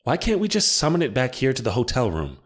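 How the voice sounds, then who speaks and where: A man's voice: medium pitch, wildly swooping pitch, fast talker, slightly rough, pitched above the performer's own voice. A man voicing a determined young woman who has just become a werewolf, speaking directly and resolutely in an audiobook. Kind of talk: audiobook character voice.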